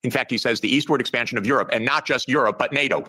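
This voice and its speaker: A man speaking as a guest analyst, deliberate and dryly sarcastic in his commentary. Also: medium pitch, sharp tone. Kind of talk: measured commentary.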